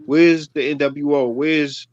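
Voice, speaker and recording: A man's voice: a little high, fairly even intonation, takes it slowly. A man in his forties talking casually and conversationally about wrestling as a podcast panelist. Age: 40s. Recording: dull, dry lo-fi sound.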